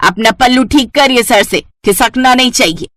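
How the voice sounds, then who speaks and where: A woman's voice: a little high, normal speed, fairly bright tone, close to an everyday voice. A woman voicing a scolding, old-fashioned mother-in-law in a family cartoon, nagging and disapproving. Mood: indignant scolding. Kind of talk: cartoon story voice acting.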